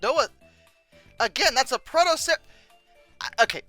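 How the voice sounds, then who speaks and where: An incredulous host delivers sarcastic reaction commentary in a bright, sharp voice. The pitch moves around a great deal, with emphatic stresses. The sound is close and dry, with faint music underneath. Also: lively intonation, moderate pace, dry recording. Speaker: video host. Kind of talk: reacting commentary, reading old text aloud and mocking it.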